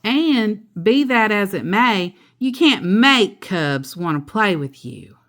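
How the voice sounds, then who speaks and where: A woman voicing a sensible, patient mother bear in a storybook, speaking in a measured, instructive way. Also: mid-range voice, animated, fairly bright tone, voice pushed up. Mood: reasoning. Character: sensible, patient mother bear giving gentle moral lessons.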